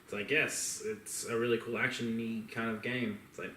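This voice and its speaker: An Australian man gives his opinions as a podcast co-host in loose, emphatic conversation. His mid-pitched voice comes from some distance off the mic, with plenty of room echo. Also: little pitch movement, normal speed, sharp tone, slight background noise. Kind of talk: casual opinionated chat.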